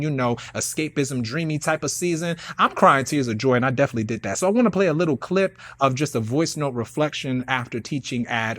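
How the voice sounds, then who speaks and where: Bright-voiced American man, expressive and casual. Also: mid-range voice, no echo. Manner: slangy, expressive, talks to the audience. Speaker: theater artist and guest speaker. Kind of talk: casual, freewheeling monologue.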